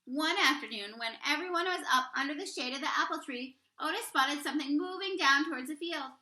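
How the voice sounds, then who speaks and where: A woman reading a children's picture book aloud expressively, with deliberate, dramatic emphasis on key words. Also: sits high, bright, forceful tone, reverberant, rough recording. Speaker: read-aloud storyteller, teacher reading to children.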